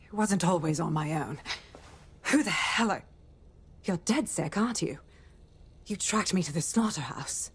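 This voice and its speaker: An actress playing a tough, weary, defiant undercover policewoman in a video game, blunt and gritty, with a husky voice. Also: lowish voice, expressive, unhurried, pauses often, heavily acted. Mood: wary, winded.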